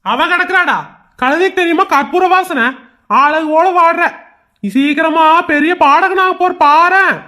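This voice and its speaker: A woman's voice: extremely high pitch, a little slow, bright, forceful tone, heavily acted. A woman voicing a teasing but affectionate father. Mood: doting.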